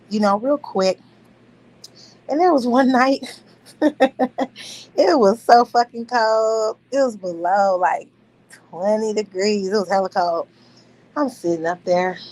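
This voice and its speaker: Woman, thirties, casual storytelling host, swings up and down in pitch, laughs through her story. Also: slow pace, pauses often, rough recording. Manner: animated, profane, laughing storyteller.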